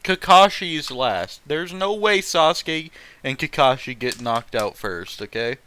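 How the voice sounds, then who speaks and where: Clear, bright-voiced man, sarcastic and sweary. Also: a little high, animated, measured pace, dry recording. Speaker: host reading fan fiction. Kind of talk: casual mocking read-aloud with commentary.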